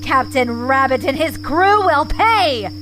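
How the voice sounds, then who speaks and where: A woman playing a vengeful, scheming fox commander in an audio space drama, menacing and deliberate in a theatrical way. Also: high-pitched, animated, sharp tone, soft background music, exaggerated voice. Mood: vengeful, gloating. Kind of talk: scripted audio drama acting.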